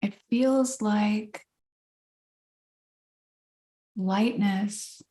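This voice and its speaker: A woman co-hosting a podcast, talking in a slow, thoughtful, reflective way and searching for words. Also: medium pitch, dark tone. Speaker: podcast co-host and coach. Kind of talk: reflective casual chat.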